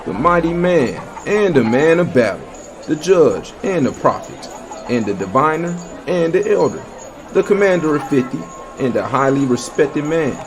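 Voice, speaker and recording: A man narrating a dramatized scripture reading in a solemn, declamatory manner. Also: medium pitch. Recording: music bed behind the voice. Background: musical score.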